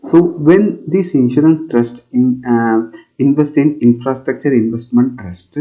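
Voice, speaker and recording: A man from India teaching a current affairs lesson for exam students. He fills his talk with pauses and asks "right?" to check the listeners follow. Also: mid-range voice. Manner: many fillers and "right?" checks, repeats phrases while explaining. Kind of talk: exam coaching lecture explaining a news article. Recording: tinny phone-like sound.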